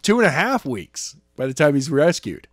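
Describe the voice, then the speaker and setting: A man's voice: fairly high pitch, sing-song, normal speed, dry recording, studio quality. A man chatting casually as a podcast co-host, playful and wisecracking. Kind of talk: casual joking discussion.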